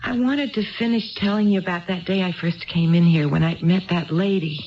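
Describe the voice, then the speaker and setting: A woman's voice: lowish voice, fairly quick, close to an everyday voice. An actress playing an earnest, tipsy married woman who rambles wistfully in a 1970s radio drama. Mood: eager, confiding. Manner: wistful, digressive, repeats herself. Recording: dull lo-fi 1970s radio broadcast.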